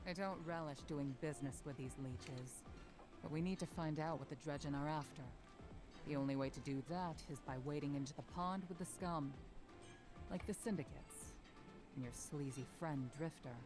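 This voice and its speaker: A woman's voice: low voice, natural voice. A woman playing a stern, mistrustful field commander in a video game, speaking in a clipped, commanding, scornful way. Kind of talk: scripted video game dialogue. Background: game music.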